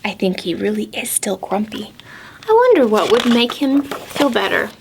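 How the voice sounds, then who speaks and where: A woman presenting storytime to preschoolers, asking simple questions and stressing key words deliberately. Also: mid-range voice, very animated, creaky, a little hiss or hum, poor audio. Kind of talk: storybook reading and talking to young children.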